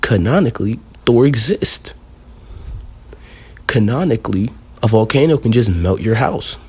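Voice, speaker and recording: A man's voice: mid-range voice, animated, measured pace, darkish tone, slightly rough. A man hosting a casual countdown video, rambling and leaning heavily on fillers. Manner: rambling, heavy on fillers like "you know". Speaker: video host.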